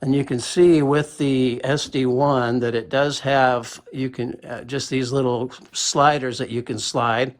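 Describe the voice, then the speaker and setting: A man's voice: medium pitch, unhurried, few pauses. Guy doing a chill mic review, plain talk, mic checks, a bit far off in an echoey room. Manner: relaxed, plain-spoken, counting off mic tests. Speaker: gear reviewer. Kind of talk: casual product demo with mic checks.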